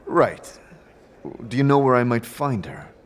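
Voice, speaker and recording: A man playing an earnest, tactful wanderer in an audio drama, measured and careful in his speech. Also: medium pitch, clean tone, pitched above the performer's own voice, lightly acted. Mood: gentle, reassuring. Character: earnest, calm male wanderer, tactful and direct.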